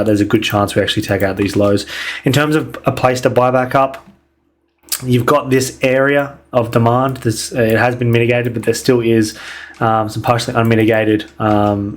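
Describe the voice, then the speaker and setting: A young man losing his voice and sounding croaky, casually walking through a weekly trading outlook with lots of ums. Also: fairly low pitch, slight background noise. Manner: relaxed, filler-heavy, many ums. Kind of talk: casual market analysis over charts.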